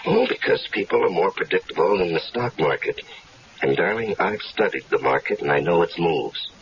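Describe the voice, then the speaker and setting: A wry, easygoing stranger speaks in a very low voice. He tells his story casually, with dry humor. The audio is muffled, like a 1970s radio broadcast. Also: animated, normal speed, a little hiss or hum. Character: easygoing stranger caught up in a plot. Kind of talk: 1970s radio drama acting. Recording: dull lo-fi 1970s radio recording.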